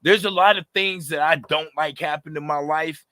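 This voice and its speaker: Raspy man in his thirties, animated and passionate, bright edge, dry close sound. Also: on the high side, moderate pace, no echo. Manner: animated, passionate, slangy, lots of fillers. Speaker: rapper, interview guest. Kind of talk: casual interview talk.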